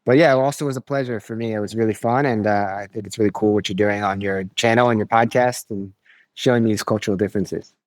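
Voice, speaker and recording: American guy on a podcast, lowish voice, easygoing, stumbles and repeats words, dry sound. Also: fast talker, no echo. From United States. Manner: relaxed, repeats words while thinking. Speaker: podcast guest. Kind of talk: casual interview chat.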